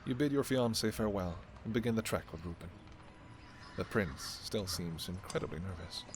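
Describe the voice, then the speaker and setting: A man with a lowish voice narrates a fantasy story in the second person. The delivery is scripted storytelling. Clear ambient noise is audible behind him. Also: normal speed. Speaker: narrator and voice performer.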